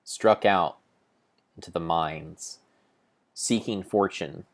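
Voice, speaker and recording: A man improvising a mock-epic dramatic narration of a video game, grandiose and theatrical, with pauses and self-corrections. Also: sits low.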